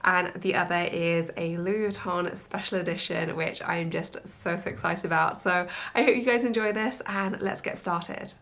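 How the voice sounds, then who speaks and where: A woman's voice: on the low side, fairly even intonation, moderate pace, hardly any pauses, fairly bright tone. A young woman from the UK chatting casually to camera about new clothes, gushing with superlatives while sounding congested from allergies. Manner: gushing, enthusiastic, lots of superlatives. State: congested, stuffy-nosed from allergies. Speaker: fashion vlogger. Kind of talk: casual haul chat to camera.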